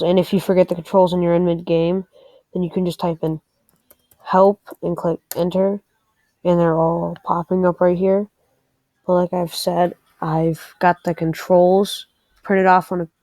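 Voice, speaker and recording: Mellow, low-toned woman talking through a game. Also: no echo. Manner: hesitant, thinking aloud while playing. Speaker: presenter of a game tutorial. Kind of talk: casual screen-recorded game walkthrough commentary.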